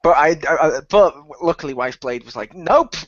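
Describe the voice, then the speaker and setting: A man chats casually with a group in a fairly high, very lively voice. He acts out quotes and swears easily as he tells stories. The recording is dry and a little dull. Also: moderate pace, no echo. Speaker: radio play cast member on a wrap-up discussion.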